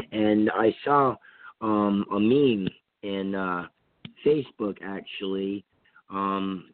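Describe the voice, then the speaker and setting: A hoarse man with a cold from Vermont, hosting a call-in radio talk show in a rambling, casual way with many fillers and restarts. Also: fairly low pitch, dry recording. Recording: tinny phone-line sound.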